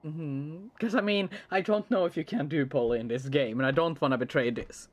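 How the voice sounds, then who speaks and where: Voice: medium pitch, fairly quick. Joking streamer, English as a second language. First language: not English. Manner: relaxed and joking, with frequent "I mean" fillers. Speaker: let's play co-host. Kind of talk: casual gaming chat.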